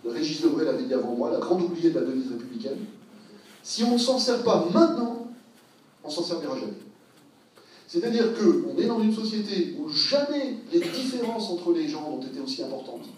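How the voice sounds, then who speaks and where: A man's voice: on the high side, big pitch swings, brisk. A man giving a public talk, thinking aloud with many hesitations and rising to passionate emphasis. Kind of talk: public talk, reflective and improvised. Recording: very echoey hall from far off.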